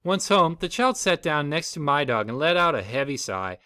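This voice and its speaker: A man's voice: fairly high pitch, sing-song, moderate pace, pushed and bright, clear, resonant tone, dry recording, studio quality. A man reading a children's storybook aloud as the storyteller.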